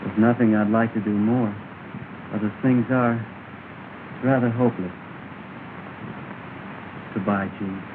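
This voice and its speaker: Sad polite young gent in love, old 1930s movie, thin crackly hissy sound. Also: male voice, fairly low pitch, steady tone, slow, long pauses. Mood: dejected. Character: earnest, dejected young gentleman in love. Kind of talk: 1930s film drama acting. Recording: scratchy, tinny 1930s film soundtrack.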